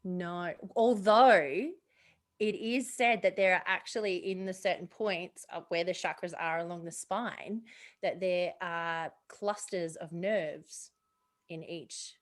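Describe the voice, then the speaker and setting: A woman co-hosting a podcast, explaining ideas in relaxed chat with frequent laughter and thoughtful fillers. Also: fairly low pitch, slow pace. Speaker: podcast co-host.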